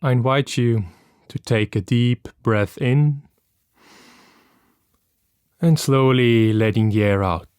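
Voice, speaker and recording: A man with a smooth, clear voice guides a meditation at a very slow pace. His delivery is gentle and calm, leaving long pauses between instructions. His pitch is medium and the sound is close and dry. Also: dry recording.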